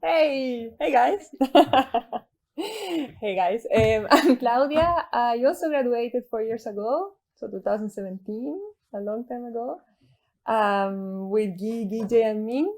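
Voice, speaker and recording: Chilean woman, gravelly higher voice. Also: slow pace. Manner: laughing, relaxed. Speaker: alumna, groundwater center staff. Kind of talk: casual self-introduction.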